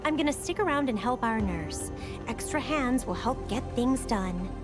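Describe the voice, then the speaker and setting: An actress plays an enthusiastic young woman helping at a camp clinic in a video game. Her voice is high and earnest, with music behind it. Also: expressive, normal speed, lightly acted.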